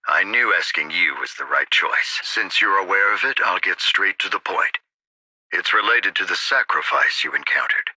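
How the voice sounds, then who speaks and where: An actor playing an authoritative, earnest male city mayor in a video game, speaking formally and deliberately. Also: low-pitched, expressive, bright, forceful tone, no echo, heavily acted, low-quality recording. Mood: serious, businesslike.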